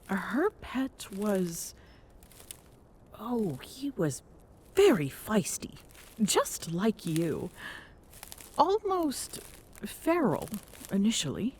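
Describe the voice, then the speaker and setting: Casting: woman to play an imperious yet tender warrior queen for ASMR roleplay; dark, soft voice, very unhurried, formal and playful. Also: medium pitch, wildly swooping pitch, slight background noise, exaggerated voice. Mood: fond, wistful. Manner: slow, deliberate, hushed, teasing formal speech. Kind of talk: intimate ASMR roleplay acting.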